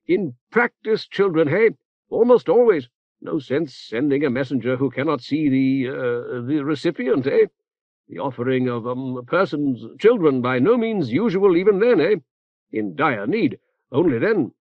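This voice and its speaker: A fussy elderly cleric rambles in clipped, halting phrases, forever stopping on "eh" and "uh", voiced by a male narrator. His voice sits well above the reader's own. The recording is dry and slightly muffled. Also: fairly high pitch, big pitch swings, no echo, pitched above the performer's own voice, heavily acted. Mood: grave.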